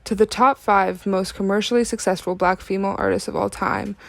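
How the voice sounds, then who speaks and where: A woman delivers scripted documentary narration as the narrator of an educational film. Her voice sits at a medium pitch. The sound is dry, with little trace of a room. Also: fairly even intonation, brisk, creaky, a bit bright, no room sound.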